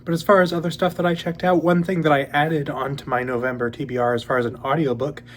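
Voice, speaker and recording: A young man hosting a casual book channel, talking in a relaxed, rambling way with many ums, a little sniffly as he gets over a cold. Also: a little high, quick pace, slight background noise. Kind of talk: casual monthly reading update.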